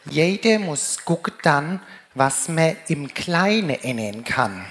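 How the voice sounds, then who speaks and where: Slow, low-voiced woman with Rhine-Hessian accent. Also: sing-song. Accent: Rhine-Hessian German. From Germany, Rheingau region. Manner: declaims in sing-song rhyming couplets. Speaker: carnival speaker. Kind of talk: rhymed humorous carnival speech in dialect.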